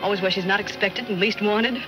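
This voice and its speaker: A woman's voice: mid-range voice, brisk, close to an everyday voice. An actress playing a plucky, wry young woman in a 1940s crime serial, with a pert delivery. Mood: wry, skeptical. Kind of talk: 1940s film serial acting. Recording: 1940s film soundtrack with music behind.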